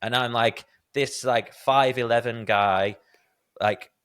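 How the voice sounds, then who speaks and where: A man's voice: medium pitch, steady tone, slow, fairly bright tone, smooth. A man hosting a podcast interview, telling candid, self-deprecating stories in a casual conversational way. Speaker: podcast host.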